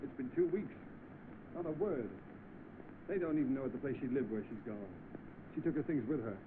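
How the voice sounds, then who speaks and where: Male actor, lovelorn gentleman, earnest pleading, vintage film drama, noisy, narrow-band soundtrack. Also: mid-range voice, brisk, natural voice. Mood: distressed. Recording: noisy, tinny, echoey old film soundtrack.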